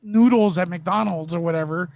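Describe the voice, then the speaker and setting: A man with a distinctly high voice talks in an easy conversational style. He speaks as a guest in a panel discussion. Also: normal speed, a little mellow. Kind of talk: casual chat.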